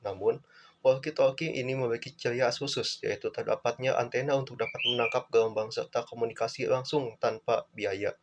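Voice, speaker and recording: A young man, a student presenter, reading out a class presentation in a plain, measured way. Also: medium pitch.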